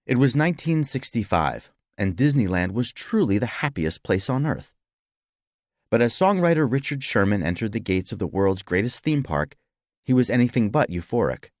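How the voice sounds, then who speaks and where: A man's voice: lowish voice, dry recording, studio quality. A man narrating a piece of historical fiction as a scripted audiobook reading.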